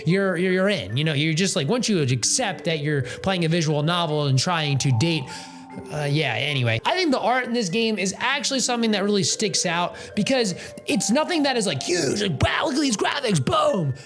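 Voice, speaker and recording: Animated, lively man reviewing games. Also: sits high, moderate pace, faint music behind. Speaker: video game review host. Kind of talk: casual scripted video review with ad-libs.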